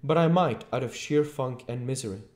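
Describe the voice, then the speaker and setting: A man's voice: medium pitch, a little fast, darkish tone, pitched above the performer's own voice, close to an everyday voice. A man voicing a fretful, frightened tramp close to tears in an audiobook, pleading in a plaintive way. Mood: miserable pleading. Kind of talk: audiobook character voice. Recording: echoey room.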